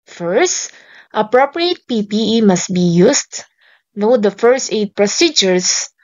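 Woman teacher, measured list-reading delivery, unhurried, slightly muffled home recording. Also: medium pitch, darkish tone. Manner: slow, deliberate reading of lists. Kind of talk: reading lesson slides aloud.

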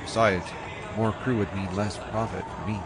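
An actor playing a practical, profit-minded spaceship captain, talking in a casual, matter-of-fact way. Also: male voice, on the low side, fairly even intonation, normal speed, noisy background, close to an everyday voice. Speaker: spaceship pilot and narrator of his own story. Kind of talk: radio drama acting. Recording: dialogue over music.

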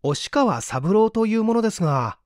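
A man's voice: sits high, sing-song, normal speed, a little mellow, dry recording, clean studio sound, pitched above the performer's own voice. A man voicing an earnest, polite young university student in an audiobook, speaking formally.

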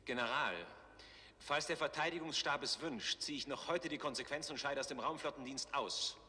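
Defiant starship commander, bright tense voice. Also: male voice, medium pitch, moderate pace, big-room sound. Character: proud, defiant male spaceship commander under reprimand. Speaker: actor. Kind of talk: 1960s German TV science fiction acting. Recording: noisy 1960s TV soundtrack.

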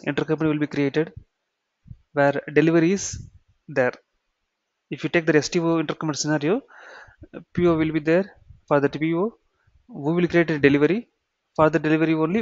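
A man with an Indian accent giving an explanatory software tutorial, repeating key phrases and checking in with "okay" and "right". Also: on the high side, dry recording. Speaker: software trainer. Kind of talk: explanatory screen-share tutorial. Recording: dull, muffled lo-fi sound.

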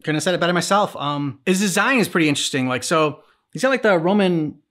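A man's voice: fairly high pitch, expressive, fast, a bit bright. A man in his thirties co-hosting a reaction show, chatting casually in a hesitant, rambling way. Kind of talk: casual chat. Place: small furnished room, on a couch.

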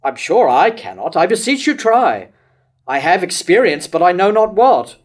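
Older man acting lovesick king, declamatory, rhetorical, tormented, verse tragedy stage reading, fairly high pitch. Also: sing-song, normal speed. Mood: anguished. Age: older, about 60s to 70s. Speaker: actor reading Herod in a staged play reading. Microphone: stand microphone at a lectern.

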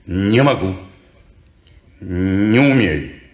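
A bitter, world-weary man in an old radio drama. His low voice moves very slowly and haltingly, guarded and brooding, in an echoey recording. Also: expressive, heavily acted. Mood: bitter, strained. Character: weary, bitter, reserved man who distrusts people. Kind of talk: 1950s Soviet radio play acting.

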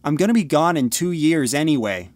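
Man playing curt teenage brother, swinging pitch. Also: expressive, fairly quick, darkish tone, no echo, close to an everyday voice. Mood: irritated. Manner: curt, impatient. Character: dismissive, irritable teenage older brother. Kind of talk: audio drama acting.

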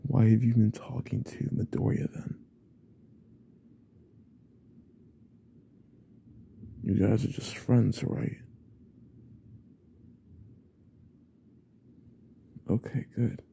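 A man playing a possessive, obsessive young classmate in an ASMR roleplay, speaking in a hesitant, breathy half-whisper. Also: fairly low pitch, fairly even intonation, normal speed, slight background noise. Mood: suspicious, probing. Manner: hesitant, hushed, probing.

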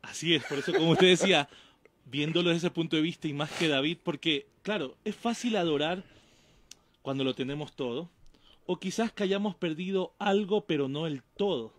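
A young adult man, a guest pastor, explaining calmly at a desk mic in a small studio, trailing off softly at the ends of phrases. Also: on the high side, moderate pace, slight background noise. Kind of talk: explanatory talk-show conversation. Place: small studio with painted backdrop. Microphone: desk mic on stand.

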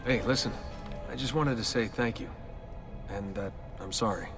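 A man's voice: lowish voice, normal speed, natural voice. An actor playing a sarcastic young everyman in a video game, talking in a casual, wry way. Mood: apologetic. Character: sarcastic young everyman, reluctant assassin recruit. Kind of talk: video game cutscene acting. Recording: long reverb, music behind.

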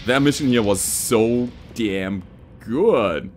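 A man's voice: mid-range voice, expressive, slow. A man in his thirties giving casual, running commentary on a video game he is playing. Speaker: gaming video host. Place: indoor home streaming room. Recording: loud game music and gunfire behind. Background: game music, gunfire, explosions.

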